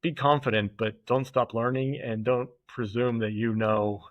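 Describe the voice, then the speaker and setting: A man speaks as a podcast guest, halting and reflective, circling back and restarting as he thinks aloud. His voice sits at a middling pitch and sounds dry and close. The recording is dull and slightly muffled. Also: steady tone, dry recording. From United States. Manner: thoughtful, halting, with many fillers and restarts. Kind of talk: casual conversational interview.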